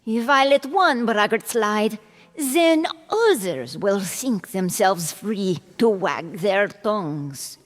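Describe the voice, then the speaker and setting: A woman playing a proud, cold noblewoman duelist in an audio drama, speaking in a haughty, deliberate manner. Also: mid-range voice, animated. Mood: proud, resolute. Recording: long hall reverb, music underneath.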